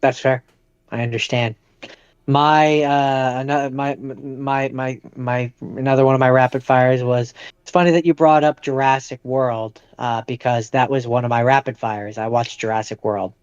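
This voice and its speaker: Male co-host, clean smooth voice, conversational, recorded dry and a little muffled. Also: medium pitch, steady tone, normal speed, no room sound. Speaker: podcast co-host. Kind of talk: casual chat reviewing movies.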